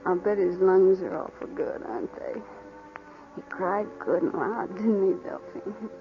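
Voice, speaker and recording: A woman's voice: medium pitch, measured pace, lightly acted. An actress plays a plucky, tender young working woman in a 1940s radio drama. Her voice sits in a middle register. The old recording is dull and muffled, with faint music behind her. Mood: dreamy, anxious.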